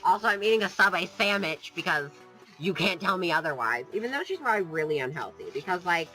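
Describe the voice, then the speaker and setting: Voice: mid-range voice, expressive, fast talker, over music, rough recording. A gaming vlogger with a cold, sniffling while joking and rambling off topic in playful, sarcastic casual chat. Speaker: gaming YouTuber.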